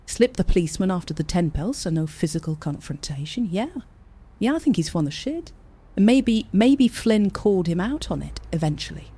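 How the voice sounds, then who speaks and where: A woman's voice: lowish voice, expressive, normal speed, warm tone, husky. A woman acting as a cynical, scornful character in an audio drama, blunt and dismissive. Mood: shrewd, blunt.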